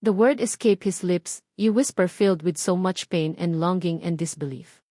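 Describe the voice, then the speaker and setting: A woman with a Filipino accent narrating a dramatic story in an emotive, suspenseful way with deliberate phrasing. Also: lowish voice, mellow, no echo, studio quality.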